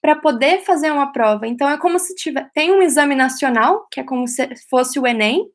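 A young woman talks in a high, bright voice. She explains things at length in an easy, chatty way, often checking in with tag questions. She sounds like a guest in a relaxed interview. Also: brisk. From Brazil. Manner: chatty, explains at length with frequent "né?" and light laughs. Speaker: guest, professional ballerina living in England. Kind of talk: casual interview answers.

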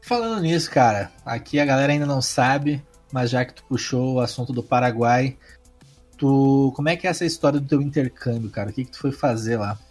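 A man hosts a laid-back conversation, his voice a touch husky and grainy. He talks in easy slang and keeps the talk moving with questions. Faint music sits underneath. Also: medium pitch, brisk. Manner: relaxed slangy interviewer, asks follow-ups. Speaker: podcast host. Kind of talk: casual chat.

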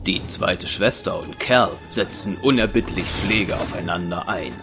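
A man's voice: sits low, unhurried, vocal fry, music in the background. A man reading scripted narration for an audio drama as the storyteller.

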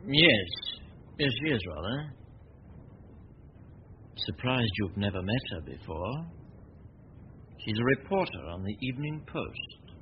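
An actor playing a confident, suave gentleman detective in a 1940s radio drama, brisk and clipped, on an old recording. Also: on the low side, animated. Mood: relaxed, affable. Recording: dull, noisy 1940 radio recording.

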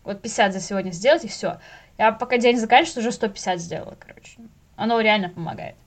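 A young woman chatting casually as a podcast guest, telling things in slangy, animated speech with the odd English word. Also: mid-range voice, fast talker. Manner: animated storyteller, acts out little inner dialogues; slangy, drops in English words.

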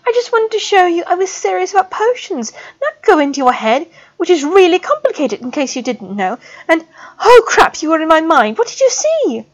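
A woman reader voicing a frightened young schoolgirl who talks high and breathlessly, on a dull lo-fi recording. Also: wildly swooping pitch, slow pace, no room sound, heavily acted. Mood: panicked. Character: young girl student, frantic and fearful.

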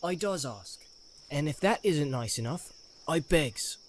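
A man's voice: fairly high pitch, expressive, a little slow, a little hiss or hum, pitched above the performer's own voice. A man voicing a loyal, plain-spoken hobbit servant with blunt, homely, rustic speech in a storybook reading. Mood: wry.

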